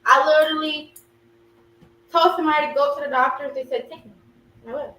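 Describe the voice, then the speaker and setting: A young woman co-hosting a casual podcast chat, expressive and quick to laugh. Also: sits high. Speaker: podcast co-host. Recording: roomy echo, far from mic, faint hum.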